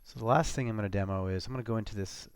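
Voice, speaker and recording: A man with a lowish, gravelly voice walks through a software demo in a casual way. He pauses and rephrases as he thinks aloud, and the sound is dry and close. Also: no echo. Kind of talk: informal screencast product walkthrough.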